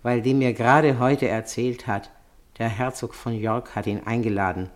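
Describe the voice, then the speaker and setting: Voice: mid-range voice, steady tone, moderate pace, natural voice. An actress playing an aggrieved, gossipy working woman in a 1950s German radio drama. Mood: confiding.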